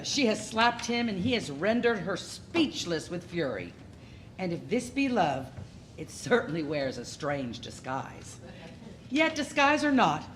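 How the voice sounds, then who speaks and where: A woman narrator tells the story of a play with wry, dramatic emphasis. Her medium-pitched voice moves up and down freely, over noticeable background noise.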